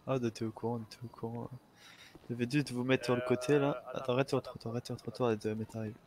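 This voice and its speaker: Un homme qui joue un policier dans un jeu de rôle en ligne, au parler familier, hésitant et répétitif. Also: male voice, medium pitch, level tone, fast. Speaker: roleplayer playing a police officer. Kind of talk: casual improvised game roleplay. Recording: noisy game audio with sirens.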